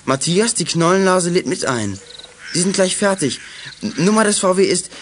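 A keen schoolboy sleuth in an eighties children's radio drama. He is brisk and boyish, with a voice a little higher than most men's. There is faint noise on the recording. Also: animated. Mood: brisk, alert. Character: eager schoolboy amateur detective with walkie-talkie. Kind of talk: 1980s children's radio play acting.

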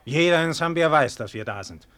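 A man's voice: a little high, lively intonation, fairly quick, fairly bright tone. An actor playing a stern, accusing colonial officer in a radio drama, formal and clipped as he interrogates. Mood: stern, pressing. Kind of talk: German radio drama acting.

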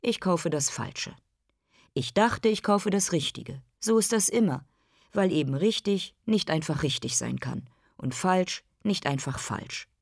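An actress playing a self-accusing, brooding consumer in a literary radio drama monologue, in clipped, declarative phrases and lists. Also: female voice, on the low side, lively intonation, measured pace, vocal fry, breathy edge, no room sound, clean studio sound, exaggerated voice. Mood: brooding, detached.

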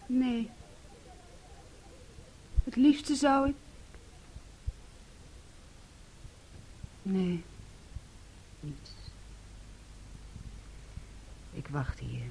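A woman's voice: on the high side, very animated, very slow, gravelly, dark tone, breathy edge, heavily acted, poor audio. An actress playing a guarded, anguished woman in a radio play, speaking hesitantly and with restraint. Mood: resigned. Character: subdued, guarded, anguished woman, quietly protective.

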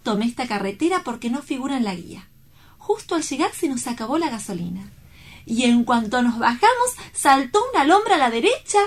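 A woman's voice: sits high, big pitch swings, fairly quick. A woman playing an exuberant, romantic young woman in love in an audio drama, effusive, playful and full of exclamations. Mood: gushing, playful. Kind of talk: theatrical audio drama acting.

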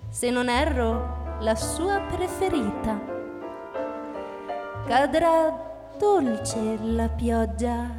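Automated house voice, measured announcements, politely uncanny, slow delivery, music underneath. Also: female voice, on the high side, expressive. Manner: measured, announcing, ritual repetition. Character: talking automated house, female clock and announcer, eerily polite. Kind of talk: theatrical radio drama reading with music. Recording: long reverb, music underneath.

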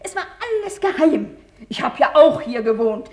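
High-voiced, defensive woman chronicler. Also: lively intonation, normal speed, a little mellow. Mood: defensive, insistent. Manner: insistent, self-justifying. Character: defensive German woman, local chronicler and former editor. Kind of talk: radio drama. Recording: roomy, echoing sound.

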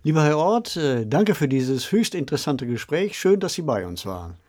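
A man's voice: a little high, very animated, moderate pace, a little mellow. A man interviewing a guest in a conversational way.

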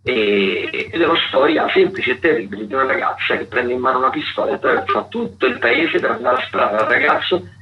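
A man's voice: mid-range voice, lively intonation, fairly quick, hardly any pauses, over music. A man presenting theatre listings on radio in a semi-improvised, stop-and-start way, often repeating words. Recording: muffled, noisy community radio broadcast.

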